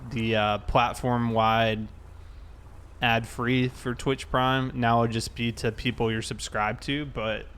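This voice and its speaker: A man's voice: medium pitch, little pitch movement, takes it slowly, a bit bright, a little hiss or hum. A man hosting a casual game livestream, thinking aloud and talking informally to his viewers. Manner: informal, thinking aloud while reading chat. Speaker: live streamer, host.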